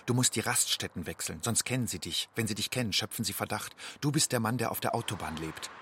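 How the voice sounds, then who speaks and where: Radio play role: tired, watchful homeless man, lowish voice, measured poetic monologue. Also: pushed and bright, a little hiss or hum. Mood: weary, watchful. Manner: measured, brooding. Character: weary, watchful man living at motorway rest stops. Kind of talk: poetic second-person monologue.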